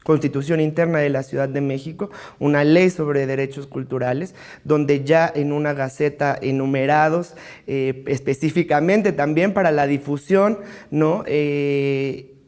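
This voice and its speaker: A man from Mexico City with a central Mexican accent, answering interview questions in a formal, deliberate, explanatory way, often ending phrases with a questioning tag. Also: fairly high pitch, steady tone, darkish tone. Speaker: interviewee, cultural rights advocate and political adviser.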